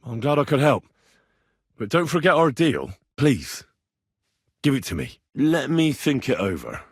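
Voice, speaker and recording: A man's voice: medium pitch, sing-song, measured pace, slightly rough, no echo, studio quality, heavily acted. An actor playing an earnest, stubborn young medieval man in a video game, speaking in a direct, persuasive way. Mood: earnest persuading. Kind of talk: acted video game dialogue lines.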